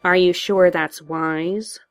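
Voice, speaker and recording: A woman voicing a sly male villain in a narrated story, softly taunting in a slow, nonchalant drawl. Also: on the low side, fairly even intonation. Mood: mocking. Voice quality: soft.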